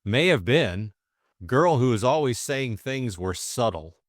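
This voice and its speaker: A man voicing a breezy, reasoning young gentleman sleuth in an audiobook. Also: medium pitch, animated, measured pace, dry recording, clean studio sound, natural voice. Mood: casual. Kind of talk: audiobook character dialogue.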